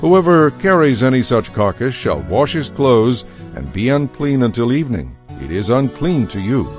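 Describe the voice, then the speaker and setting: A man narrating scripture from a script in a solemn, measured manner. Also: mid-range voice, very animated, faint music behind.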